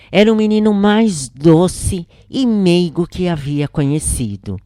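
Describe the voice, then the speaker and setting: A woman with a Brazilian accent narrates a scripted story in a low register. Her delivery is slow, solemn and expressive, and the sound is dry and close, with very little room. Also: dry recording. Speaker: narrator reading a spiritual first-person account.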